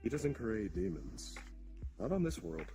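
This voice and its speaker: A man's voice: lowish voice, big pitch swings, a little slow. A man voicing a demon king who explains patiently and bluntly, sometimes swearing, in a roleplay. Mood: calm, explaining. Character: demon king, ancient creator of monsters. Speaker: roleplay player. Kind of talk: in-character roleplay dialogue. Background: background music.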